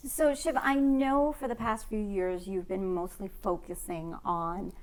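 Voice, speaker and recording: A woman's voice: mid-range voice, steady tone, unhurried, a little mellow, low-quality recording. A woman hosting an interview, asking questions in a conversational style. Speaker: interviewer, host.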